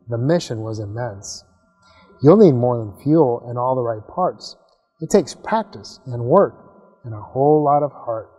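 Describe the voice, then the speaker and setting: A man's voice: medium pitch, warm tone, breathy edge, big-room sound. A man voicing a kindly old giant rocket in a storybook, reassuring and encouraging like a mentor.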